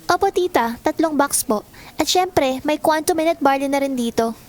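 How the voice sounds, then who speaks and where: A woman acting a high-voiced resentful schoolgirl daughter. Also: moderate pace, close to an everyday voice. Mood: guarded, polite. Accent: Filipino. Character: resentful schoolgirl daughter, polite outwardly, bitter inside. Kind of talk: Filipino audio drama acting. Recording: music bed behind the voice.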